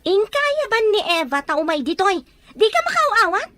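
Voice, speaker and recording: Actress as bossy scheming filipino wife, really high voice, swings all over, radio drama. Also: female voice, very animated, normal speed, bright, forceful tone, full character voice. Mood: bossy, irritated. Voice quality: high-pitched. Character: scheming, bossy wife secretly poisoning her rich husband. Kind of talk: Ilocano radio drama acting.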